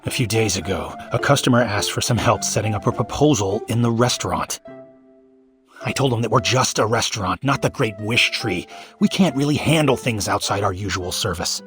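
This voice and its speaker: A man playing a gruff, grumbling but soft-hearted restaurant chef in a video game. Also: fairly low pitch, normal speed, close to an everyday voice. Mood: exasperated. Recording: music under the voice.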